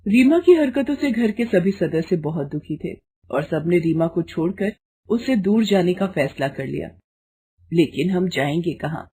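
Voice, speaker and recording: Mellow, husky woman storyteller. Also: on the low side, lively intonation, moderate pace. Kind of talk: scripted story narration.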